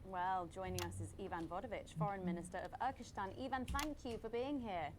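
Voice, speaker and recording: A woman playing a brisk news co-anchor. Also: medium pitch, noisy background, lightly acted. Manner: crisp, scripted news delivery.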